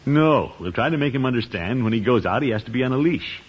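A man's voice: mid-range voice, animated, fast, close to an everyday voice. An actor playing a pompous, blustering middle-aged man in a 1950s radio sitcom, chuckling and flustered. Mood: cheerful. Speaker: uncle and head of household. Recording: dull 1950s radio recording.

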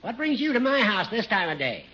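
An actor playing a sly, needling old judge in a 1950s radio comedy, with a drawn-out, mock-courtly way of teasing. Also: male voice, sits high, sing-song. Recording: very noisy muffled old radio recording.

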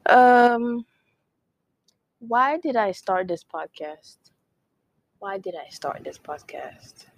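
A woman's voice: mid-range voice, animated, slow pace, slight background noise. A young woman from Nigeria hosting her own podcast, chatting casually with many "like"s and playful whispered asides. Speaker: podcast host. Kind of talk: casual solo podcast chat.

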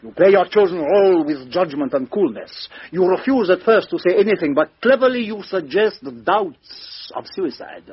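An actor playing a shrewd, methodical foreign detective in a 1950s radio mystery, speaking in a deliberate, courteous, theatrical way with rhetorical questions. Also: male voice, high-pitched, expressive, slightly rough, heavily acted. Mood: accusing, assured. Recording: dull lo-fi 1950s radio recording.

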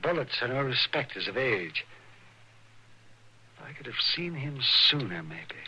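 Grave, sharp-voiced frontier doctor. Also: male voice, mid-range voice, sing-song, a little slow, lo-fi sound, exaggerated voice. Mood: somber. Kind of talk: 1950s radio western acting. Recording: noisy 1950s radio drama recording.

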